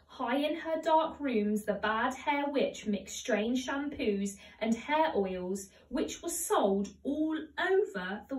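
A young woman, a teacher, reading a children's story aloud in a measured, expressive storytelling manner. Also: fairly high pitch. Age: young adult. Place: home conservatory, indoors. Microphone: camera a few metres away. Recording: roomy echo, distant microphone.

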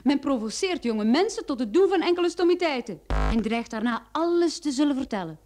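An actress playing a sharp, confident female private detective in a radio play, explaining things in an assured, theatrical way. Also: high voice, expressive, a little fast. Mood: confident, amused. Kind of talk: Dutch-language radio drama acting.

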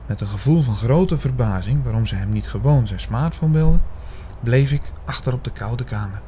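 Audio drama lead: male actor as suspicious, reflective investigator-narrator, mellow tone, some background noise. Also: mid-range voice, animated, normal speed, close to an everyday voice. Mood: reflective, doubtful.

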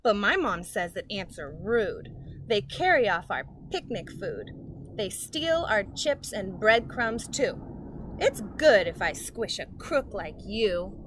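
A woman's voice: medium pitch, sing-song, slow pace. A young woman reading the part of a big, boastful, bossy boy in a storybook, with a taunting, emphatic delivery. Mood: accusing. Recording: outdoor ambient noise.

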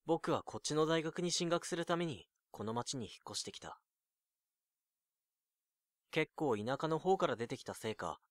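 A man's voice: medium pitch, dry recording, clean studio sound, close to an everyday voice. A man playing a lonely nineteen-year-old student, narrating an introspective monologue in an audio drama. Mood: melancholy, alienated. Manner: brooding, introspective.

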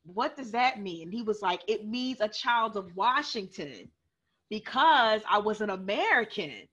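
Bright-voiced young woman on a video call. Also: mid-range voice, unhurried, slightly rough, muffled recording. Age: young adult. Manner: animated, gesturing, many restarts. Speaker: panelist author. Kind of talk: storytelling on a panel. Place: living room at home. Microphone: earphone mic on video call.

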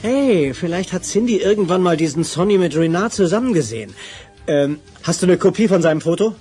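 A self-assured male investigator in an audio drama. His voice sits a bit high, and he probes with dry irony. Some music runs underneath. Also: animated, fairly quick, mellow, husky. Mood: determined. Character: self-assured, wry male crime-fighting investigator hero. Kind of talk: German audio drama acting.

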